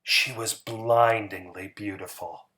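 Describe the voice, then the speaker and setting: A man giving a dramatic, scripted story reading as narrator, measured and emphatic. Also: on the low side, fairly even intonation, sharp tone. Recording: music bed under the voice.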